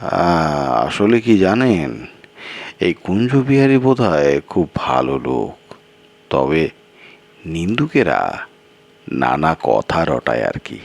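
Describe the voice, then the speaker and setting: A man's voice: very deep voice, very animated, slow, creaky, pauses often, full character voice. A man voicing a sly, evasive village local in a storytelling piece, with a drawling, folksy and boastful manner. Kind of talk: acted storytelling dialogue.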